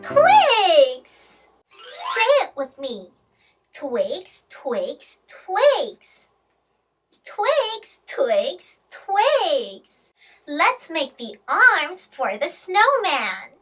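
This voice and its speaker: Woman, children's storytime host, very slow, bright, animated, sing-song teaching style, repeats words for learners. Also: very high voice, faint music behind. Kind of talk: playful children's English lesson, exaggerated storytelling.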